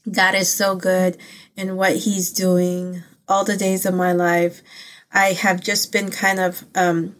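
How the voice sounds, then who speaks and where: A woman born in the Philippines, whose first languages are Pangasinan and Ilocano, talking straight to her channel in a casual faith vlog. She speaks earnestly and from the heart, wandering through her thoughts with plenty of ums and restarts in a steady mid-range voice. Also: medium pitch. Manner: earnest and heartfelt, rambling with many fillers and restarts. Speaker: channel host.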